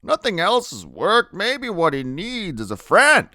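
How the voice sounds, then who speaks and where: A man's voice: high-pitched, huge pitch swings, a little slow, sharp tone, no room sound, studio quality, voice pushed up, full character voice. A man voicing an older grandmother who speaks earnestly and pleadingly. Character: older grandmother, worried but hopeful.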